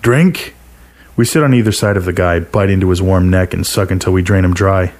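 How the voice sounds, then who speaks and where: A man's voice: sits low, fairly even intonation, fast talker, a little mellow. A man reading a first-person short story aloud as a scripted narration.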